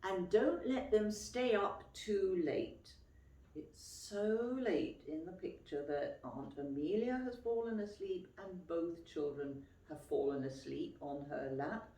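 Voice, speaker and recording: A woman reading a picture book aloud to young children as a teacher would, expressively and clearly phrased, pausing to explain and ask questions. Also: on the low side, warm tone. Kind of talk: children's storytime read-aloud with questions to listeners. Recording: roomy, echoey, distant microphone.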